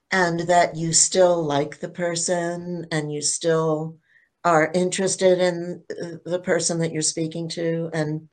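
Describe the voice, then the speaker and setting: An older woman speaking as a panelist in a casual online discussion, slow and thoughtful, often restarting her sentences. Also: on the low side, a little mellow. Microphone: video call.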